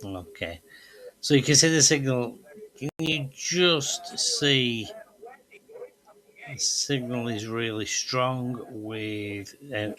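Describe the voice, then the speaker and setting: A man's voice: on the low side, bright, forceful tone, a little hiss or hum, rough recording. A man presenting an amateur radio tutorial, explaining methodically step by step over a demonstration.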